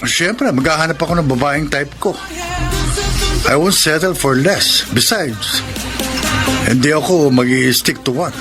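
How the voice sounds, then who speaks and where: An actor playing a callous, vain young Filipino boyfriend in a radio romance drama. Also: male voice, a little high, measured pace, long pauses, lightly acted. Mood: smug, dismissive. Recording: music bed under the voice.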